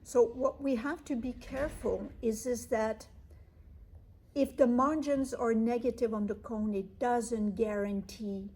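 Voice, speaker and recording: Interviewed female medical expert. Soft-edged, husky tone, measured and careful delivery. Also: on the high side, steady tone. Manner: measured, cautious explaining. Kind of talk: interview answers on clinical research.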